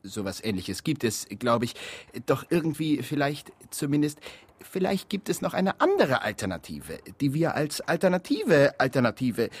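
A man's voice: fairly high pitch, huge pitch swings, normal speed, slightly rough, exaggerated voice. An actor playing a pompous ad-agency man in a satirical radio drama, declaiming in slogans. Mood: groping.

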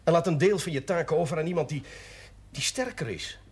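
An actor playing a genial, authoritative parish priest, paternal and persuasive, in a 1970s Dutch television drama. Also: male voice, a little high, fairly quick, a little mellow, breathy edge. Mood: firm, persuasive.

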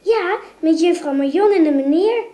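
Very high-pitched eager little girl. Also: expressive, normal speed, mellow. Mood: chatty, excited. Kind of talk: radio drama acting.

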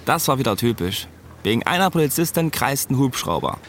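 A man's voice: mid-range voice, very animated, a little fast, a bit bright, slight background noise. An actor playing a wry, streetwise male narrator, telling a police radio drama in colloquial, laconic, sardonic style.

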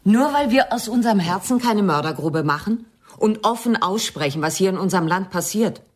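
Husky, indignant wife, played by an actress. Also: female voice, mid-range voice, animated, a little fast, close to an everyday voice. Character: indignant, protective professor's wife, outspoken. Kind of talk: German radio play acting.

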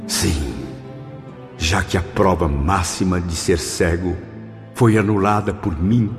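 A man's voice: sits low, takes it slowly. An actor playing a remorseful spirit of a man, narrating solemnly in a radio drama. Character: remorseful male suicide spirit recounting his long penance. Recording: long reverb, music behind.

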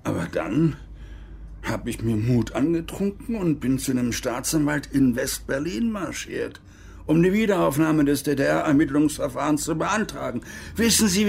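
An actor in a radio drama playing a bitter, stubborn old former army major, halting and agitated, with a gravelly, husky voice. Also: male voice, a little high, heavily acted. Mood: weary, defensive. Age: older. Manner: halting, agitated, self-reproaching, with outbursts. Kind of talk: German radio crime drama acting, interview monologue.

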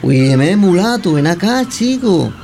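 A man's voice: high voice, very animated, darkish tone, a little hiss or hum. A man from Cuba, chatting and teasing as he questions a guest and tells long stories. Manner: chatty, teasing, drawn-out storytelling. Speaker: guest interviewer. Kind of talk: casual chat.

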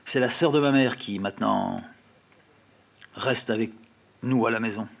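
Shy little schoolboy, as a man reads him, short hesitant answers, a bit gravelly. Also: lowish voice, unhurried. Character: shy quiet schoolboy friend.

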